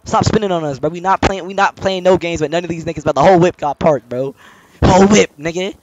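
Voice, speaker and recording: A young man live-streaming a role-play game, talking in excited, boastful, repetitive street slang with lots of swearing. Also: high voice, quick pace, highs cut off, a little hiss or hum.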